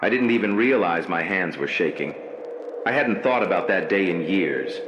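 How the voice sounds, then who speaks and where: Guy playing haunted hospital night worker, slow ominous horror narration, dull echoey audio. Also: sits low, expressive, noisy background. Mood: grave, uneasy. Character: haunted night-shift ICU worker telling his ghost story.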